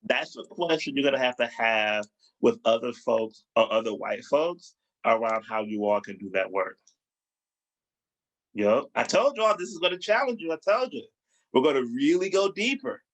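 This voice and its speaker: A man's voice: very animated, normal speed, low-quality recording. Man leading a group training session. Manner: encouraging, asks the group questions, rises in pitch for emphasis. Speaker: diversity trainer.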